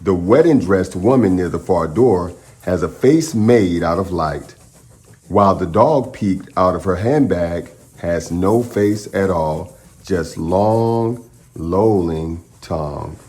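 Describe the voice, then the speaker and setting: A man hosting a children's storytime, speaking to young listeners in an encouraging, expressive way as he chats and reads aloud. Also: low-pitched, slow. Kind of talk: children's read-aloud and upbeat chat to young viewers.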